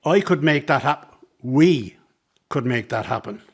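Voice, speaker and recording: A man's voice: on the high side, expressive, a little slow, gravelly, husky, heavily acted. A man acting in a radio drama as a scheming circus man, talking in an ingratiating, persuasive, coaxing way. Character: smooth-talking, scheming circus man, mother's old flame. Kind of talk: dramatic radio play dialogue.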